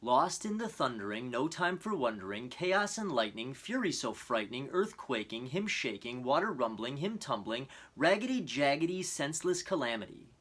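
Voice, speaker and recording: A man presenting and reading a children's storybook aloud in an earnest, expressive, measured way. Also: medium pitch, breathy edge. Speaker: storybook reader.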